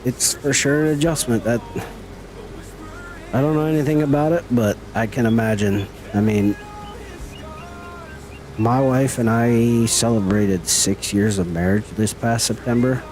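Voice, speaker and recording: A man's voice: medium pitch, measured pace, long pauses, a little mellow, poor audio. A middle-aged man chatting casually with viewers as he streams a game, folksy and teasing. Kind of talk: casual live-stream chat while gaming.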